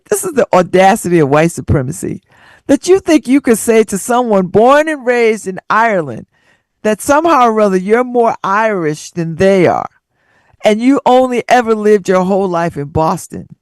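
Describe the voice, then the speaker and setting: A woman hosts a radio talk show, reading listener stories aloud and breaking into laughter and sassy remarks between them. Her pitch swings widely as she reads and comments. The sound is dry and close, with no room around it. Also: normal speed, dry recording. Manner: laughs often, animated and sassy asides.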